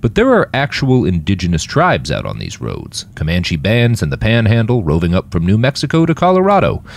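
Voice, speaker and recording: A man's voice: on the low side, huge pitch swings, fairly quick, creaky, full character voice. A man voicing a stern, proud driver from a roving city, explaining things firmly in an audiobook story.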